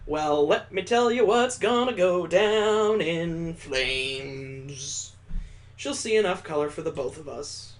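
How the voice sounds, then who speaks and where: A man with a somewhat high voice reads a visual novel aloud as a streamer. His delivery moves between story narration and casual, conversational commentary. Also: takes it slowly.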